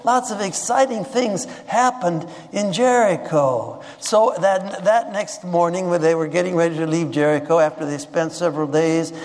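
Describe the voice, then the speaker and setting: A man's voice: sits high, normal speed, hardly any pauses, darkish tone. A man preaching a sermon in a conversational way, often restarting and repeating words and asking the audience questions. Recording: long reverb of a large hall.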